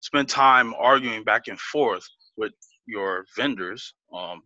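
A man's voice: fairly low pitch, slow, bright, forceful tone, no echo, dull audio. A man as an interview guest, laughing easily and explaining things step by step with many restarts. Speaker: business guest. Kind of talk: casual interview talk.

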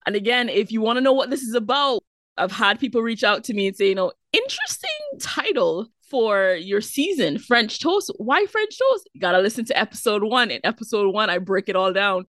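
A woman hosting her podcast, upbeat and slangy, talking to her guest in quick bursts. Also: medium pitch, big pitch swings, fairly bright tone, dry recording. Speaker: podcast host.

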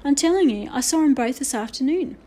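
A woman's voice: high-pitched, moderate pace, a little mellow. Woman acting a staunch, plain-spoken schoolboy. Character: loyal, staunch schoolboy standing up for his friend.

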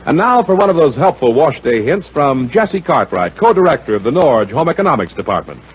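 A man working as a radio announcer, reading an advertisement in 1940s style with a husky voice. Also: a little high, sing-song, brisk, slight background noise. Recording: dull old radio broadcast.

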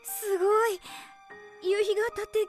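A woman acting a frank, warm-hearted teenage girl, a loyal best friend, with expressive, open delivery in a Japanese radio drama. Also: very high-pitched, normal speed. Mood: amazed. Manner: expressive, plain-spoken, emotionally open. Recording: studio drama with music underneath.

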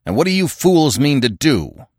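A man's voice: lowish voice, wildly swooping pitch, a little slow, a bit bright, no room sound, studio quality, heavily acted. A man voicing a bold, blunt barbarian leader in an adventure storybook, bellowing with exasperation. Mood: defiant. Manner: roaring, bellowing, commanding.

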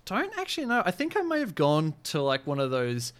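A man's voice: fairly high pitch, wildly swooping pitch, brisk, a bit bright, smooth. A man co-hosting a podcast in casual chat, talking in a hesitant, rambling, wry way. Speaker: podcast co-host.

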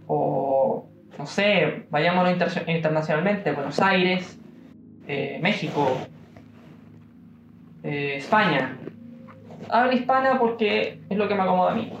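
A young man with a Chilean accent giving an informal devotional talk, musing aloud with pauses and fillers. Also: high voice. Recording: roomy, dull-sounding room recording.

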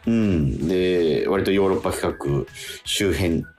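A man's voice: sits low, a little slow, darkish tone, faint music behind. A man hosting a radio talk show in a studio, chatting casually with many fillers and easy nods.

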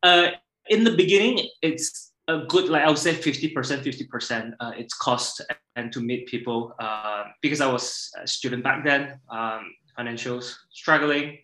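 A man's voice: mid-range voice, normal speed, pushed and bright. A man in his thirties with a Malaysian accent, chatting casually as a podcast guest. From Borneo, Malaysia. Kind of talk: casual chat. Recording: echoey, roomy sound.

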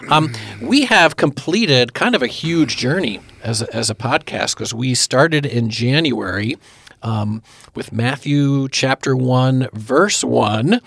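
A man's voice: mid-range voice, expressive, takes it slowly, vocal fry, fairly bright tone. A man hosting a church podcast in a chatty, friendly way, with lots of fillers. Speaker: podcast host. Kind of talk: casual church podcast chat.

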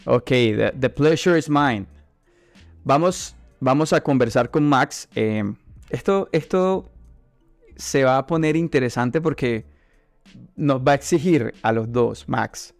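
Slow-talking man hosting a chat. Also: medium pitch, creaky, no room sound, faint music behind. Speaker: interview host. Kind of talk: casual bilingual podcast interview chat.

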